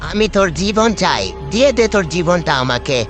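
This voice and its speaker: A man voicing a menacing, vengeful ghost in a horror cartoon, with drawn-out, threatening delivery. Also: high voice, very animated. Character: menacing vengeful ghost haunting a school, out to kill. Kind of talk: amateur animated horror cartoon dub. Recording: loud music behind voice.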